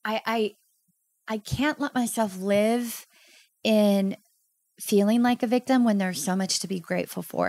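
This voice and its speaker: A woman's voice: medium pitch, creaky, no echo. A woman in her thirties, a podcast guest, telling her personal story in an earnest, heartfelt way. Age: 30s. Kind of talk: candid personal interview.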